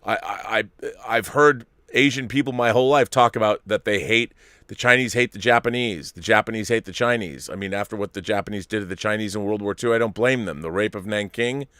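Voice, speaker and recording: A man's voice: fairly low pitch, brisk, bright, forceful tone, no echo. Rambling opinionated middle-aged man, dry sound. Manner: rambling, self-interrupting, opinionated. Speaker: host reading viewer super chats. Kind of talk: casual live-stream commentary.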